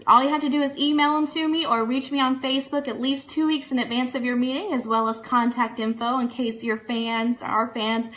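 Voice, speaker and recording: A woman's voice: sits high, fairly even intonation, brisk, hardly any pauses, a bit bright. A woman hosting a live online radio show, chatting through fan news and announcements in an upbeat, enthusiastic way that speaks straight to listeners. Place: studio.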